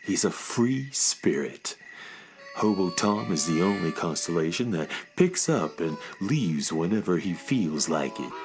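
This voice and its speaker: A man's voice: low voice, very animated, measured pace, music in the background, heavily acted. An actor playing a laid-back, poetic beatnik cat father in a children's radio drama, with languid hip slang.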